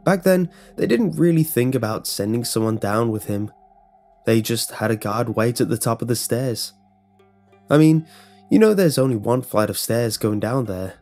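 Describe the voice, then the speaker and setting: A man plays a wry older male co-worker in a horror story, gossiping in a conversational, rambling way. His voice is mellow and mid-pitched, with faint music behind it. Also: expressive, normal speed, lightly acted. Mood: sardonic. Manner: casual, conversational, rambling recollection.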